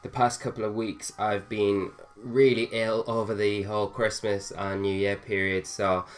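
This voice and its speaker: A man's voice: fairly low pitch, level tone, measured pace. A young man with a slightly nasal voice from a cold, casually commentating on his own gameplay and thinking aloud with fillers. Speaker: gaming video host.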